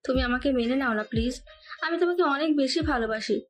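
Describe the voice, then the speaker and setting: A lovesick young girl, acted by a woman, speaks in a very high voice. She pleads and coaxes in a clingy way, as in an audio romance drama. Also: brisk, fairly bright tone. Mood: lovesick pleading. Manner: pleading, coaxing. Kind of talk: Bengali audio love story acting.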